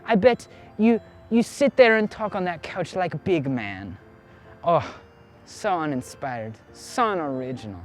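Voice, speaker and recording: A man's voice: fairly high pitch, sing-song, measured pace, soft background music. A man voicing a pretentious young film student, his own younger self, who sneers in broken French and mocks. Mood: condescending. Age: young adult. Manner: mocking, fake-French affectation.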